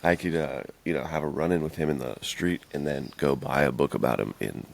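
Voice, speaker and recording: A man's voice: rumbling low, a little fast, creaky. A man co-hosting a podcast in casual, informal chat with plenty of slang. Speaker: podcast co-host.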